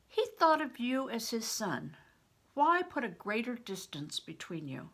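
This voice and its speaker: An older widow in a storybook, acted out by a woman reader, earnest and urgent. Her voice climbs high and swings widely in pitch as she pleads and warns with strong emphasis. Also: very animated, a little slow, a bit bright, exaggerated voice. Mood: grave, pleading. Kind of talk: audiobook character reading.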